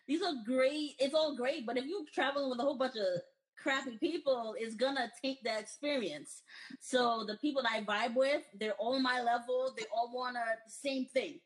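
American woman guest, husky and fairly high-pitched, chatty and upbeat. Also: fairly even intonation, moderate pace, a bit bright, low-quality recording. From United States. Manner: upbeat, chatty, often laughing. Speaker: interview guest. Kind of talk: casual interview chat.

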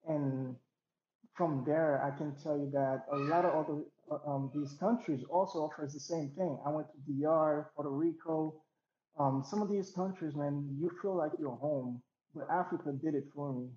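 A young man from Haiti, a DJ, talking in a relaxed, rambling way with many fillers, as a guest on a video call. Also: a little high, moderate pace, reverberant, dull audio. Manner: laid-back, rambling with many fillers and restarts. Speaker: DJ and interview guest. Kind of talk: casual interview over a call.

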